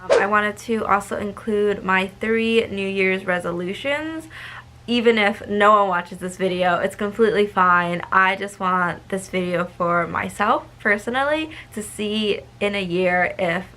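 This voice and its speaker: A woman's voice: medium pitch, unhurried, sharp tone, poor audio. A young woman talking casually to camera in a vlog, chatty, with frequent ums and likes. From United States. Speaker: vlogger.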